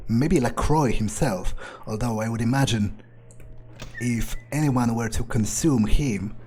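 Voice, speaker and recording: A man's voice: medium pitch, darkish tone, slight background noise. A man doing in-character game commentary, thinking aloud in a theatrical, self-assured, musing way. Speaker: gamer playing in character.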